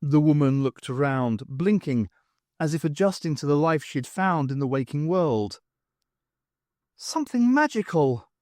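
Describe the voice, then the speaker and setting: A man narrating an audiobook at home on a close mic, measured and expressive. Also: a little high, no room sound. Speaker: audiobook reader.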